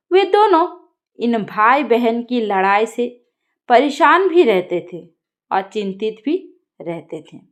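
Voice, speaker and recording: A woman tells a scripted story in an expressive, deliberate way. Her voice sits a little high and moves through wide rises and falls. She takes her time, delivering the narration at a clearly slow pace.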